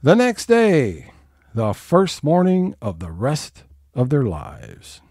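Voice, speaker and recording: A man's voice: medium pitch, huge pitch swings, takes it slowly, a little mellow, dry recording, studio quality. A man narrating as host of an audio theater show, reading scripted narration and credits. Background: music.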